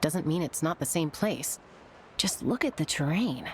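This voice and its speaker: A woman's voice: low voice, expressive, measured pace, noisy background. A woman voicing a level-headed, observant young female adventurer in an anime-style video game, composed and direct. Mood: assured.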